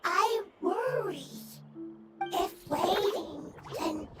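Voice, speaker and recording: An actor voicing a simple-spoken, worried, protective forest creature in a fantasy game, talking in halting, broken short phrases. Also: very high-pitched, lively intonation, distant mic, over loud music, full character voice. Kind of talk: animated fantasy game dialogue.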